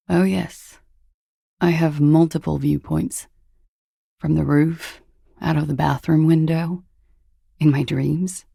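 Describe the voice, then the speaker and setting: Gravelly, low-voiced, guarded young woman. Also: mellow, no echo, full character voice. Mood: wry, detached. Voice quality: husky, gravelly, breathy. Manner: languid, dry, wryly understated. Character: cool, guarded young woman, disco dancer with secrets. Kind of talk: video game character dialogue.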